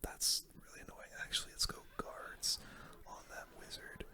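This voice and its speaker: Whispering ASMR gaming host. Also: moderate pace. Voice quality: soft unvoiced whisper. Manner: casual, hushed play-by-play. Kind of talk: whispered ASMR game commentary.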